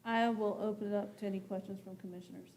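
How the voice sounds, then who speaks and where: Woman, commission chair, fast pace, mid pitch, procedural meeting talk. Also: steady tone, darkish tone, poor audio.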